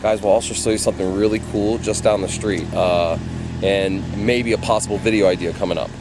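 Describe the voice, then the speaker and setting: A man with a fairly low voice chats casually to vlog viewers. He is out and about, with clearly audible outdoor and vehicle noise around him. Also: steady tone, brisk. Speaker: vlog co-host.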